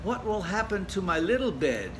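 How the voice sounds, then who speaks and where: Male reader as small storybook bear cub, boyish, raised pitch, wide-eyed and questioning. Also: sing-song, normal speed, noisy background. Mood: worried. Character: little boy bear cub, anxious and curious. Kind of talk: storybook character voice.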